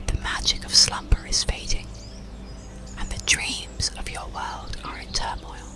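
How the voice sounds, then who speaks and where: A narrator whispering as an ancient, solemn dragon. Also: natural voice. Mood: solemn welcome. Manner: grave, slow, whispered. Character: ancient, wise, solemn dream-guarding dragon. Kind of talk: whispered ASMR bedtime storybook.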